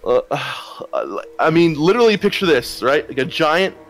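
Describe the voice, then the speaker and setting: A man's voice: on the high side, big pitch swings, unhurried, faint music behind. A man co-hosting a casual gaming podcast, talking bluntly and swearing freely. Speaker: podcast co-host.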